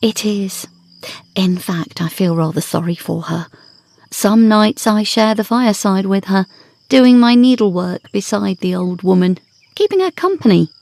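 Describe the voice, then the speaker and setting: An actress playing a composed, wry and fearless wife in a period ghost story, measured, theatrical and dryly pointed. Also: female voice, mid-range voice, vocal fry, darkish tone, no echo. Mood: earnest, compassionate. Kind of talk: period ghost story audio drama acting.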